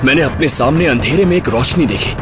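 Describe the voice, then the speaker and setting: Man acting haunted survivor, animated horror storyteller. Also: medium pitch, lightly acted. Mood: terrified recounting. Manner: dramatic, halting, fearful. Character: haunted male survivor recounting a terrifying snowy night. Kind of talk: audio horror drama storytelling. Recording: loud music under the voice. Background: loud background music.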